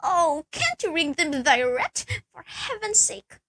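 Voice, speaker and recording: A young woman acts out an anxious, indignant wife in an amateur radio play. Her voice is extremely high and sharp. She is insistent, easily exasperated and quick to get flustered. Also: very animated, heavily acted.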